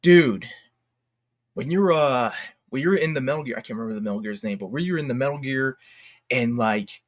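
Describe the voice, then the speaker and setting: A man giving unscripted commentary as a YouTuber, talking in a halting, slangy, exclamatory way and often interrupting himself. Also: mid-range voice. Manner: rambling, halting, self-interrupting. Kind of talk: unscripted casual commentary rant.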